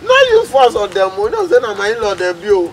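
A man's voice: high voice, big pitch swings, very fast, a little hiss or hum. A man playing a lovesick, self-pitying friend in a drama, pleading with a Nigerian accent in a plaintive, hesitant way. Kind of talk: comedy drama acting in Nigerian Pidgin.

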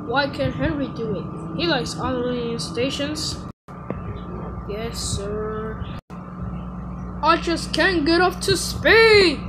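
A pompous big blue cartoon train, as acted out by a woman, full of boasts and grumbles. The voice sits high and takes its time, with theatrical self-importance. Clear noise runs through the homemade recording. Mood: grumbling, boastful. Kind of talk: character voice reel, fan performance.

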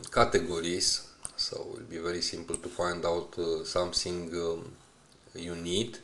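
Deep-voiced, halting male tutorial narrator. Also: fairly bright tone, slight background noise. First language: not English. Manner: halting, hesitant, searching for words. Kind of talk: unscripted screen-recorded walkthrough.

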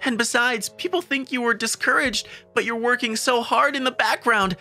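A woman's voice: a little high, moderate pace, pushed and bright, soft background music. A woman voicing a shy teenage boy who narrates the story and stammers when flustered, in a manga dub. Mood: insistent. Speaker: narrator and lead character.

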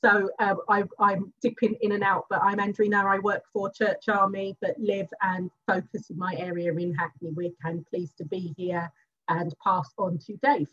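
A woman's voice: mid-range voice, level tone, moderate pace, fairly bright tone. A woman working for a church group, introducing herself playfully on a video call. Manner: playful, jokey. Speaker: church worker. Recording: dull lo-fi call audio.

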